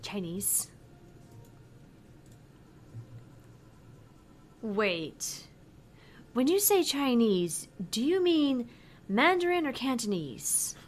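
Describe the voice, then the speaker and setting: A woman voicing a polite, thoughtful young bartender in a visual novel, earnest and measured as she explains things. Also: a little high, big pitch swings. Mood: curious, polite. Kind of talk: visual novel read-aloud.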